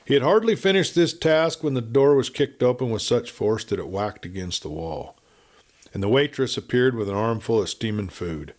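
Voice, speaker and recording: A man reading a western novel aloud as scripted narration, in an expressive, dramatic storytelling manner. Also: medium pitch, normal speed. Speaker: audiobook reader.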